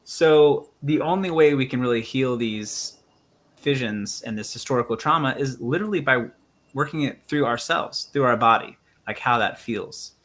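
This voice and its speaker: Earnest man with a bright voice. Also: mid-range voice, moderate pace. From United States. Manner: earnest, thoughtful, many ums and you-knows. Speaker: musician and show host. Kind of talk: reflective conversational interview.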